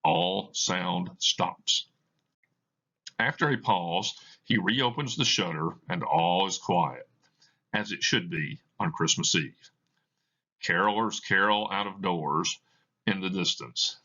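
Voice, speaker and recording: A man's voice: low voice, slow pace, pushed and bright, muffled recording. A man reading a play aloud, giving scripted narration of the stage directions. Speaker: reader of a play.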